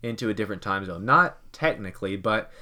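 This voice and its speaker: A man's voice: on the low side, lively intonation, a little fast, bright, forceful tone. Rambling man hosting a podcast. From Kentucky, United States. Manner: rambling, jokey, asks the cohost leading questions. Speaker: podcast host. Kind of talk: casual podcast chat.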